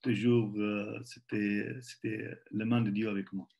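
Syrian man, 40s, low gravelly voice, halting restarts; home video call. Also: little pitch movement, a little mellow. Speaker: missionary partner. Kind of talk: interview in French with interpreter. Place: home room.

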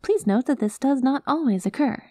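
A woman playing a cute, earnest, easily flustered young alien girl in a soft-spoken ASMR roleplay. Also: sits high, expressive, moderate pace, no room sound, clean studio sound. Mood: careful reading aloud. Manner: earnest, flustered, talks to herself. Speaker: audio roleplay performer.

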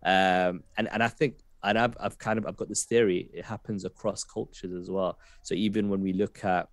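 A man's voice: deep voice, steady tone, normal speed, dry recording. A man in his thirties with a West London accent, a poet talking casually on a video-call podcast, thoughtful and hesitant with many fillers. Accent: West London English. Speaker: poet guest. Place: home room, video call.

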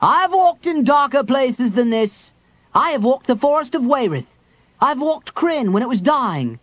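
Man playing burly warrior, defiant, declaims, raised pitch, lively, dry read. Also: a little slow, no echo, full character voice. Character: big brave human warrior man, frightened.

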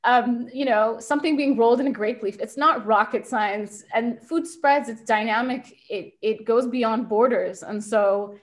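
Thirties woman, video call from home, quick reflective delivery with fillers. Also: fairly high pitch. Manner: quick, thoughtful, with many fillers. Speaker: invited speaker. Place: home kitchen.

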